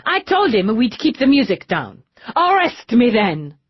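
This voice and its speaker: A brisk, hot-tempered young Frenchwoman, put on by an audiobook narrator, her voice climbing high and swooping theatrically. She speaks with a French accent and flares up fast, in a slightly breathy tone. The studio read is dry and a little dull. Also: sing-song, no room sound. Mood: indignant. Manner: indignant, theatrical, quick to flare.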